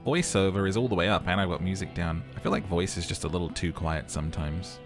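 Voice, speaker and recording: A man's voice: sits low, fairly even intonation, fast talker, over music. A man narrating a game playthrough, mixing dramatic reading with casual commentary.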